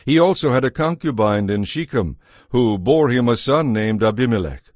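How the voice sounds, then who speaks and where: A man narrating a scripted audio Bible as a measured, solemn storyteller. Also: medium pitch, lively intonation, dry recording, studio quality.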